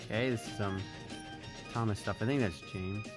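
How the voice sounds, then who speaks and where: A man's voice: on the low side, moderate pace, music in the background. A young man giving casual reaction commentary as host, recorded indoors in a bedroom. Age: young adult.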